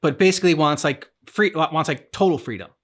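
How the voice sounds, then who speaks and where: A man hosting a reaction show, talking casually about a game's story in a halting, rambling way as he searches for words. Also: on the high side, fairly bright tone.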